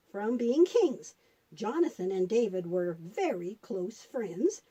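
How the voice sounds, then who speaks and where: A woman's voice: high voice, husky, heavily acted, low-quality recording. A woman voicing a patient grandmother puppet who explains and tells Bible stories to children. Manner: explanatory, patient, gently instructive.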